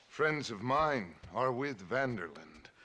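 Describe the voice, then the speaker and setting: A weary frontier guide in a western game. His mid-pitched voice is slow and measured, stoic and grave, with faint ambient noise behind it. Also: sing-song, bright, forceful tone, full character voice. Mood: grave, reproachful. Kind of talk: dramatic game cutscene acting.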